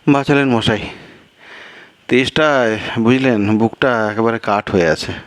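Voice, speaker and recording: A man's voice: medium pitch, unhurried, no echo, lightly acted. A man voicing a weary, anxious, polite traveller in a storytelling piece, fretful, hesitant and plaintive. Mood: relieved, impatient. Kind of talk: acted storytelling dialogue.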